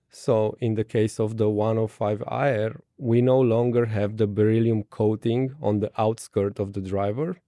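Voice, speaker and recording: A man with a Romanian accent, answering interview questions in brief, measured technical terms. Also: on the low side, dark tone, no echo, clean studio sound. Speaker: guest.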